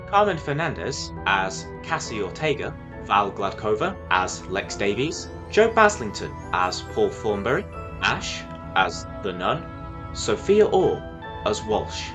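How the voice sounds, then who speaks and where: A man reading scripted closing credits as the announcer of an audio drama. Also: lowish voice.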